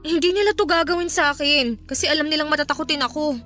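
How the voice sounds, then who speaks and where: An actress playing a young woman musician in a radio drama, speaking in a casual, expressive, naturalistic way over music. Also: high-pitched, quick pace. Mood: uneasy, scared. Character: young woman band musician, friendly, easily frightened. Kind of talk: radio drama dialogue in conversational Filipino.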